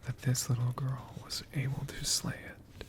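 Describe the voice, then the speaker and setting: A man playing a friendly, bookish dragon who tells stories in a soft, breathy ASMR whisper, gently lingering over words. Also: mid-range voice, monotone, normal speed, natural voice. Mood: gentle, musing. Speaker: ASMR host.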